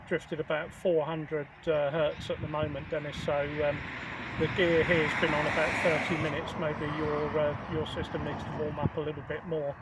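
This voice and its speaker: Very loud outdoor noise surrounds a British man with a slightly high voice. He talks as a ham radio operator making a casual contact, measured and halting as he reports on the signal. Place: outdoors at a portable radio station. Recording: very noisy outdoor recording.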